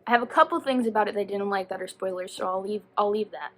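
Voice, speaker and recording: A woman giving a casual, chatty book review as a vlogger, animated and slangy, often rambling and interrupting herself. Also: medium pitch, fast, a little hiss or hum.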